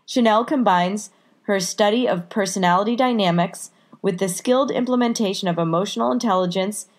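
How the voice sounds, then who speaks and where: A woman's voice: mid-range voice, darkish tone. A woman hosting a podcast, stuffed up with a cold, chatty and upbeat as she introduces the episode. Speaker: podcast host. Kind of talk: casual podcast intro and interview.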